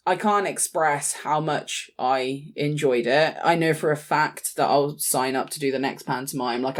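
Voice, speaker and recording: A British woman in her thirties talking as a podcast co-host in a casual, informal and self-deprecating way. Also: low-pitched. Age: 30s. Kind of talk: casual chat.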